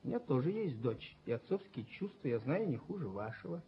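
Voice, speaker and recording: A man's voice: mid-range voice, very animated, fairly quick, natural voice. An actor playing an ingratiating, scheming self-made businessman who coaxes familiarly, in a radio play. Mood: coaxing. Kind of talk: Soviet radio play acting. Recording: muffled 1970s radio drama recording.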